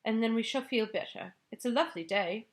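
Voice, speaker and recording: A woman's voice: on the high side, brisk, close to an everyday voice. A woman doing a timid, deferential maid. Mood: shaken. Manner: respectful, hesitant, repeats "ma'am".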